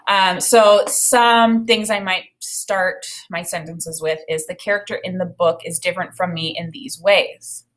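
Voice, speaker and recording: A woman in her thirties with an American accent, teaching through an expressive read-aloud with spoken commentary on the story. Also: lowish voice, measured pace, hardly any pauses. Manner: expressive reading with acted dialogue.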